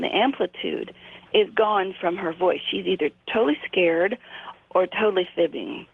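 A woman in her sixties called in as a guest, explaining her work in a measured way with frequent ums. Her voice comes through a thin, narrow phone line with a dry, close sound. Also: lowish voice, creaky, husky, no echo. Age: 60s. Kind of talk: radio interview by phone.

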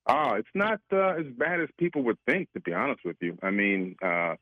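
A man's voice: medium pitch, sing-song, moderate pace, no echo. A man in his fifties, a regular guest and researcher, chatting easily on a phone-in radio interview and laughing often. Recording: tinny phone line.

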